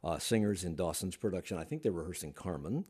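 Older guy hosting a radio talk show, lively up-and-down delivery, lots of uhs. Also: sits low, fast, slightly rough. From Washington, D.C. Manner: long winding questions with fillers. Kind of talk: radio interview.

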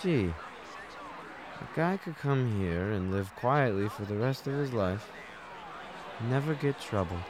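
A man's voice: lowish voice, animated, takes it slowly, pauses often, background noise. A man acting in a comedic radio play as a blunt, folksy, skeptical bar customer from out of town. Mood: relaxed, musing. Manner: colloquial, blunt. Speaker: student radio actor.

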